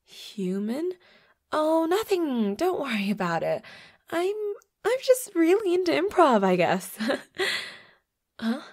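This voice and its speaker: Woman acting a lively, breathy vampire roommate. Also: a little high, takes it slowly, long pauses, full character voice. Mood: flustered, covering up. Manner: stammering, playful, breathy asides in whispers. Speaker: voice actor. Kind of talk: flirty audio roleplay acting.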